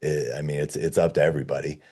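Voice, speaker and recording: A man with a very low, mellow voice coaches someone through a casual conversation. He sounds dark-toned and relaxed, and phrases his advice informally and plainly. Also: very fast, breathy edge. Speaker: discussion leader giving advice.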